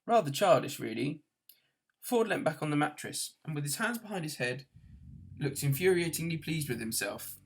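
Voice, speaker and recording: A man's voice: a little high, big pitch swings, moderate pace, a bit bright. A man reading a novel aloud as scripted storybook narration. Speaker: audiobook reader.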